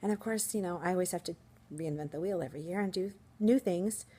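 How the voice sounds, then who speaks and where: A woman teacher vlogging in a casual, upbeat, chatty way, her voice slightly hoarse. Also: on the low side, fast talker, mellow. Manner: chatty, upbeat, rambling with fillers. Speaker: first grade teacher, vlogger. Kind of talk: casual vlog chat to camera.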